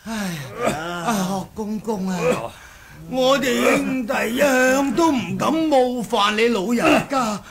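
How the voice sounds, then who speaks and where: Very high-pitched wailing henchman. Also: expressive, slow pace, creaky, exaggerated voice. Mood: weakly pleading. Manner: wailing, repetitive. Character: panicky male henchman, groaning and pleading. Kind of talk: Cantonese radio drama acting. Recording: 1980s radio drama with crowd behind.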